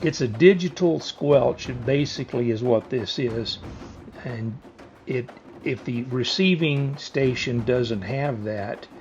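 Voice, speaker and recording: A man's voice: mid-range voice, a little mellow, dull audio, a little hiss or hum. A man giving a casual, plain-spoken hands-on product walkthrough, explaining things step by step. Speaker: product reviewer and demonstrator.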